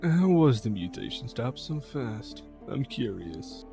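A woman voicing a cool, calculating villain boss with measured menace in a comic fan dub. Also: rumbling low, sing-song, vocal fry, music in the background, full character voice. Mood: coolly curious.

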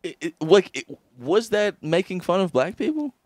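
A man's voice: sits high, big pitch swings, normal speed, a little mellow, dry recording. A young man in casual comedic podcast banter, talking crudely in slang into a close microphone. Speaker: podcast co-host.

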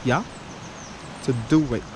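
A man in his thirties, filming a foraging vlog with a handheld camera in a wooded glen, musing aloud in a chatty way. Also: a little slow. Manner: chatty, muses aloud, laughs at own ideas. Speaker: foraging vlogger. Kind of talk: casual outdoor vlog. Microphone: action camera held at arm's length. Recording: outdoor camera audio with wind and leaf noise. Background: rustling leaves and outdoor ambience.

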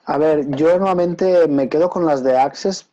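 A man in his thirties hosting a casual interview over a video call, speaking in a relaxed, thoughtful way with many hesitations. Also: a little high, moderate pace. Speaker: host and certified facilitator. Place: small room at home. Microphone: earphone headset on video call. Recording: dull lo-fi video call audio.

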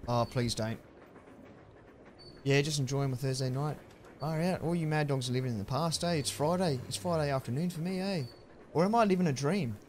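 A man in his thirties with an Australian accent, chatting casually with viewers while streaming a game on a headset mic, relaxed and chatty with sudden loud outbursts. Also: on the high side, fairly quick, a little hiss or hum. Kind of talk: casual live-stream chat while gaming.

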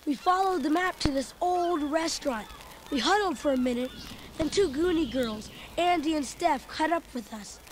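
A woman's voice: high voice, lively intonation, normal speed, slight background noise, poor audio. A chubby food-loving boy. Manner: chatty, comic asides. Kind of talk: storybook narration. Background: music.

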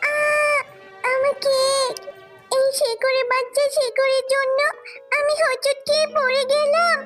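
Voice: very high-pitched, little pitch movement, a little slow, over music. A performer voicing a poor, whiny and eager little girl in a children's cartoon story. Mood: tearful.

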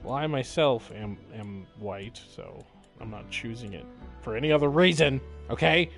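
A man giving casual live gameplay commentary, musing aloud in a jokey, drawn-out way. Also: mid-range voice, lively intonation, vocal fry, soft background music. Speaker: game streamer.

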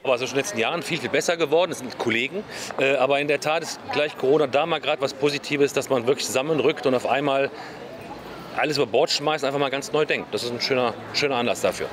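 A man in his forties giving an interview statement as a hotelier, outdoors in town. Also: mid-range voice. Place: outdoors in a shopping street. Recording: loud busy street crowd.

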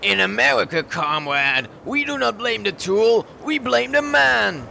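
A blustering cartoon army man with a heavily gravelled, high-pitched bark. His delivery swings up and down like an angry drill sergeant's, with faint noise behind it. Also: big pitch swings, a little slow, bright, forceful tone, exaggerated voice. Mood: blustering, stern. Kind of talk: comic dub dramatic reading.

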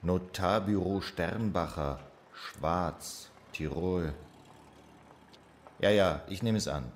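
An actor playing a polite, reflective psychoanalyst of 1920s Vienna in a radio drama, formal and restrained. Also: male voice, deep voice, takes it slowly, slight background noise. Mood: sober, reading.

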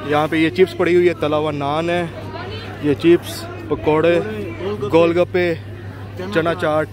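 Male travel vlogger, chatty guide voice a bit on the high side, recorded outdoors amid a crowd. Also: little pitch movement, unhurried. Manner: chatty, pointing things out while walking. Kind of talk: casual walking tour vlog. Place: busy hill-town street outdoors. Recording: crowd noise around the speaker. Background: crowd of passers-by.

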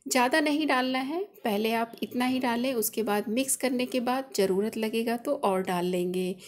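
A woman's voice: fairly high pitch, normal speed. Friendly woman talking through a recipe. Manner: friendly instructive, chatty home-cook explaining. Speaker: cooking channel host. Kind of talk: recipe voice-over narration.

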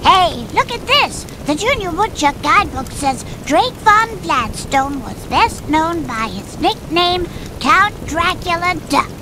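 A woman's voice: extremely high pitch, big pitch swings, slow pace, noisy background, heavily acted. A woman playing a curious, nervous young duckling child in a cartoon game. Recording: game cutscene with music underneath.

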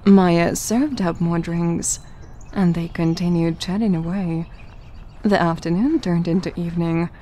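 Lower-pitched woman narrating a story. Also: fairly even intonation, a little slow, mellow.

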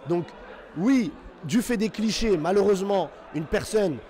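A man's voice: sits high, a little slow, warm tone. A young man answering a vox pop in a lobby, earnest, repeating himself with stammering restarts, into a handheld mic. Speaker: passer-by interviewed. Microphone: handheld mic held by interviewer.

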